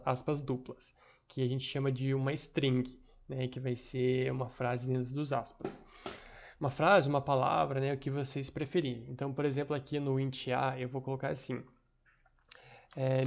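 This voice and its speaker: A young man from Brazil giving a screen-recorded programming lesson, halting, with many fillers and restarts. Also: mid-range voice, creaky, a little mellow. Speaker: tutor. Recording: dull lo-fi mic.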